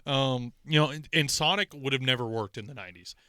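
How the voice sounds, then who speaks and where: Male podcast co-host: crisp, forceful, animated rambler, casual and sweary. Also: mid-range voice, a little fast, dry recording, studio quality. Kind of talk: casual opinionated film and game chat.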